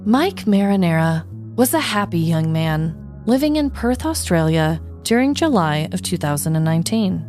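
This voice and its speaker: A woman's voice: lowish voice, big pitch swings, normal speed, darkish tone, soft background music. A woman co-hosting a podcast, chatting and telling stories in a playful way. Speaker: podcast co-host. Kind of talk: casual chat and storytelling.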